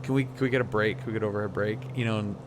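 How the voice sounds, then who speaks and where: A man talking casually and reflectively on a livestream, often halting to search for words. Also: fairly low pitch, steady tone, background noise. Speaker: flight sim streamer.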